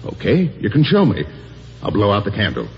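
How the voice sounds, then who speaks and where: An actor playing a cruel man who taunts a coworker about the dark, speaking softly and menacingly in 1950s radio style. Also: lowish voice, wildly swooping pitch. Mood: sinister, taunting. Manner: soft, slow and menacing, then mocking calls. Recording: dull old radio broadcast with music.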